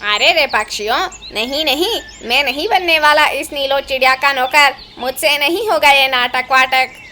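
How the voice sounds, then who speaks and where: A woman's voice: high-pitched, normal speed, pushed and bright, a little hiss or hum. A woman voicing a put-upon, complaining male crow in a cartoon, full of exclamations. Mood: grumbling. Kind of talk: Hindi cartoon voice acting.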